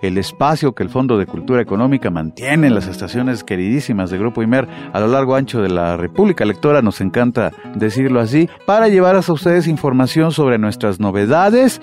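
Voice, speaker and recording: A man with a Mexican accent hosting a radio interview, chatty and playful, cutting in with quick questions. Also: medium pitch, sing-song, a little mellow, soft background music. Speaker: radio show host.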